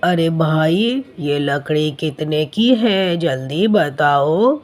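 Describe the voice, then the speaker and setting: A woman's voice: deep voice, lively intonation, extremely slow, a little mellow, heavily acted. A woman voicing a blunt, demanding male customer in a cartoon story. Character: brisk male customer bargaining over firewood. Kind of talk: Hindi cartoon story dubbing.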